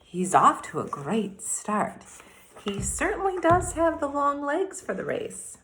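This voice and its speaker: A woman teacher reading aloud and explaining to young children in a deliberate, expressive way, speaking to them directly. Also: sits high, faint music behind. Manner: deliberate, addresses children directly with questions and asides. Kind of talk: expressive children's read-aloud and mini lesson.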